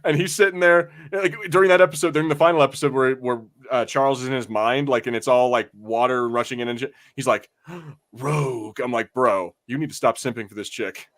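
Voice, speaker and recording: A man with a mid-pitched voice chats casually as a podcast co-host. He banters and swears, putting on a playful show of indignation. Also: brisk, fairly bright tone. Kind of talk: casual chat reviewing an animated series.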